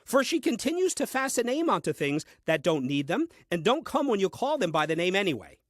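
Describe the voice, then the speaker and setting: A man's voice: high voice, big pitch swings, brisk, a bit bright, no room sound, heavily acted. An actor playing a grumbling, self-important first man reading his diary in a comic audio play, with dry, fussy delivery. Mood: exasperated.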